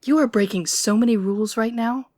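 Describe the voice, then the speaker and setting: A woman's voice: on the high side, fairly quick, dark tone, no echo, studio quality, close to an everyday voice. A woman playing an outspoken, gossipy young lady-in-waiting in a romantic fantasy audio roleplay, informal, teasing and openly indignant. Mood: scolding.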